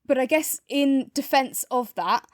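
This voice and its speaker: A woman's voice: sits high, lively intonation, unhurried, a little hiss or hum. A woman co-hosting a podcast, chatting casually and reflectively as she gives a review. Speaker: podcast co-host reviewing audio fiction.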